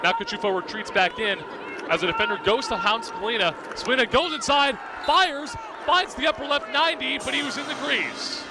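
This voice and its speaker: A man's voice: extremely high pitch, a little fast. A young man doing live radio play-by-play commentary in clipped, vivid present-tense calls. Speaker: play-by-play announcer. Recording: outdoor broadcast with clear background noise.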